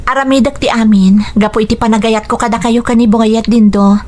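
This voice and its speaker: A woman playing a patient, dutiful wife and stepmother in a Philippine radio drama, speaking in an earnest, gentle way. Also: on the high side, steady tone, a little fast, close to an everyday voice. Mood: troubled, earnest.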